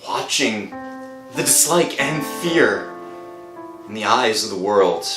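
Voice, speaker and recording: An actor playing a desperate, haunted unemployed husband in an emotive 1960s radio horror drama style. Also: male voice, medium pitch. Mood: brooding, haunted. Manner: melodramatic, emotive, swinging from murmur to outcry. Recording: roomy old-time radio recording with music.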